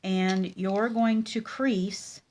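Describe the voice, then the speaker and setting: Congested woman teaching card-making, slow. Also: medium pitch. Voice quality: nasal. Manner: relaxed, with many ums and pauses. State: congested with a cold. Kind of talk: casual craft tutorial. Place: craft table at home.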